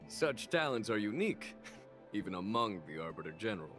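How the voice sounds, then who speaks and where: An actor playing a courteous, composed and gracious general in a video game. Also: male voice, fairly low pitch, sing-song, a little fast, a bit bright, faint music behind. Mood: gracious, formal.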